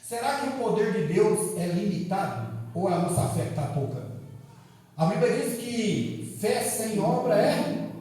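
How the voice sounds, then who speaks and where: A Brazilian man preaches with a high, mellow voice, firing rhetorical questions at the room and sliding between soft asides and loud appeals. He sounds far from the mic, his words ringing around a big church hall with a long, booming echo. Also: animated, measured pace. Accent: Brazilian Portuguese. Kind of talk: evening sermon. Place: large echoing church hall.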